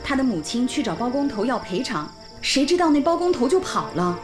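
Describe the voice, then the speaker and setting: Friendly village teacher lady, caring, highish animated voice, music behind, radio drama. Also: a little fast. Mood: sorrowful concern. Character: friendly, caring female village schoolteacher, local colleague. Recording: music under the dialogue.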